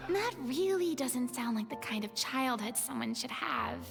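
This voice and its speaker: A perky, kindly little game character with a high, bright voice. She is outspoken and expressive, her pitch bouncing around. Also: measured pace, creaky, heavily acted. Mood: surprised, musing. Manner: expressive, opinionated. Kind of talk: scripted game dialogue. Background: game music.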